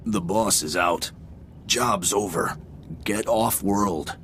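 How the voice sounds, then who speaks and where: A man playing a weary hired thug in a video game, terse and gravelly. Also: on the low side, takes it slowly, a bit bright, a little hiss or hum. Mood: grim.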